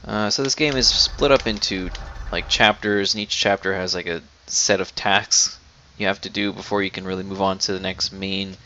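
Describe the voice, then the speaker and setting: A man's voice: low-pitched, steady tone, moderate pace, few pauses, sharp tone, a little hiss or hum. A young adult man giving casual, off-the-cuff let's play commentary as a gaming video host, over game audio. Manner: informal, off-the-cuff. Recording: muffled mic over game music.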